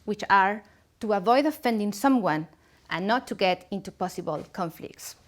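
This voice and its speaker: Female seminar presenter, Mexican accent, native Spanish speaker, mid-pitched voice, formal and measured scripted training delivery.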